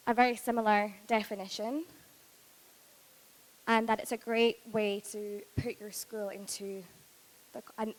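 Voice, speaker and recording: A woman with a Scottish accent, hoarse from losing her voice, moderating a conference panel with friendly, chatty introductions. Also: medium pitch. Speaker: university recruitment lead, panel moderator. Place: large hotel conference room. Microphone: handheld microphone, filmed by far camera. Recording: reverberant hall sound.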